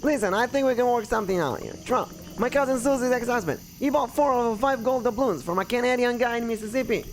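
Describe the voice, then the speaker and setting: A man's voice: extremely high pitch, fast talker, hardly any pauses, a little hiss or hum, voice pushed up, poor audio. A man voicing a folksy, easygoing local boater in a video game, rambling and colloquial. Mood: persuasive, rambling.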